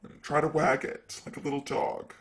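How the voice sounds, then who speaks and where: A man's voice: a little high, completely flat delivery, normal speed, fairly bright tone, close to an everyday voice. A man playing a sassy, vain, flirty ancient demon secretary in an improvised ASMR roleplay, with a teasing, casual manner. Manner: teasing, casual, drawling asides.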